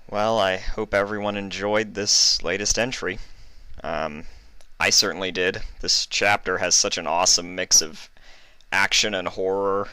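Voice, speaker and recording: A man's voice: sits low, fairly even intonation, a little slow, sharp tone, clean tone, dry recording. A man reading a story aloud as its narrator. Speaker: fan fiction reader and narrator.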